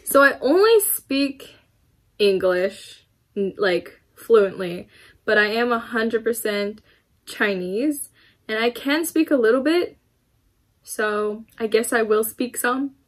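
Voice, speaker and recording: This is a young woman of eighteen chatting to the camera in her bedroom. Her voice sits a little high, and she rambles, dropping in many fillers and small laughs as she answers viewer questions. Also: animated, takes it slowly. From United States. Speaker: YouTuber. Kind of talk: casual Q&A vlog to camera. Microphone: camera in front of her, laptop beside it.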